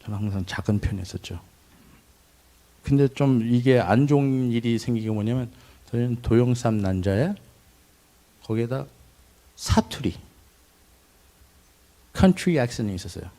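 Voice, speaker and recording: A middle-aged man giving a personal testimony, recalling his childhood in a reflective way with pauses and restarts. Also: medium pitch, lively intonation, dark tone. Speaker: testimony speaker.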